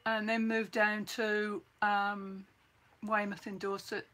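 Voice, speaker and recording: An older woman from Birmingham with an English accent softened by years in New Zealand, chatting casually to her viewers and reminiscing. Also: medium pitch, flat delivery, takes it slowly. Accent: English with some New Zealand mixed in. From England (Birmingham). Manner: chatty, reminiscing, repeats herself. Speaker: livestream host.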